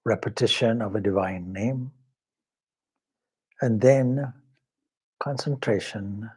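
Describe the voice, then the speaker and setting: Slow, low-voiced man guiding meditation. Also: dark tone, slightly rough. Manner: measured, solemn, pausing between short phrases. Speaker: swami leading guided meditation. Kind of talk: guided meditation, devotional instruction.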